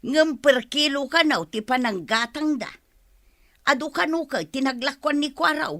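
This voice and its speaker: A woman's voice: sits high, moderate pace, a bit bright. A woman playing a chatty, scolding villager in a radio comedy drama. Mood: gossipy. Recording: music under the voice.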